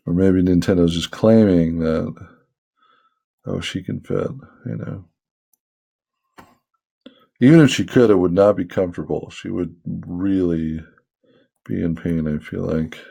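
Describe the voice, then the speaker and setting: A man's voice: low-pitched, fairly even intonation, measured pace, vocal fry, long pauses, warm tone, husky. A man casually talking to viewers while he draws, rambling and self-deprecating, with a soothing voice and many fillers. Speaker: hobby artist vlogger. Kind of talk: casual talk while drawing.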